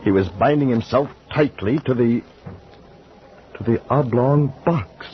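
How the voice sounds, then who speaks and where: An actor playing a shaken man who witnessed a murder at sea, telling it in halting words with stammered repeats, in 1940s radio drama style. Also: medium pitch, lightly acted. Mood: haunted. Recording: dull old radio recording with faint hiss.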